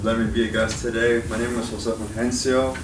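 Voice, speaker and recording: A man's voice: mid-range voice, flat delivery, brisk. A young man with a Chicago accent, giving a casual talk to college students as a guest speaker and often checking in with "right?". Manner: conversational, often tags points with "right?". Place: meeting room at a college. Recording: echoey room from far off, noisy.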